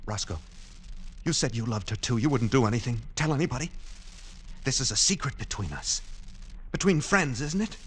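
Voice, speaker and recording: A man's voice: mid-range voice, expressive, normal speed, husky. An actor playing an anxious, lovesick, pleading man in a vintage radio drama. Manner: earnest, pleading, theatrical. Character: lovesick, anxious man living secretly in a department store. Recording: old radio recording with music behind.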